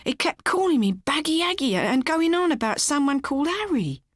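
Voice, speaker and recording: A woman voicing an anxious, fanciful wife in a small, hushed voice, in an audiobook story. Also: high voice, very animated, moderate pace, no room sound, pitched above the performer's own voice. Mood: earnest confessing. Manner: mournful, hushed, on edge.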